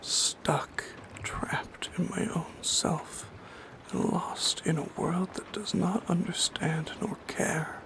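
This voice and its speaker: Male actor as brooding shadow creature, breathy whisper, very slow halting delivery, lonely and tender, solemn ASMR roleplay. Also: high voice, fairly even intonation, exaggerated voice, rough recording. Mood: sorrowful, haunted. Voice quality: breathy whisper with creaky edges.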